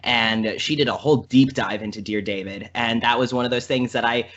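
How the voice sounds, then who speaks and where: Bright-voiced man telling rambling stories. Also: medium pitch, brisk. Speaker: podcast guest. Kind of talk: casual chat, storytelling. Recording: dull lo-fi podcast audio.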